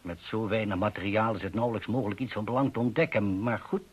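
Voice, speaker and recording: Low, husky-voiced learned professor. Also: male voice, quick pace. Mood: matter-of-fact. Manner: precise, lecturing. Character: measured, learned male professor of phonetics. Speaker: actor. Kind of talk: radio drama acting. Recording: muffled old radio drama recording.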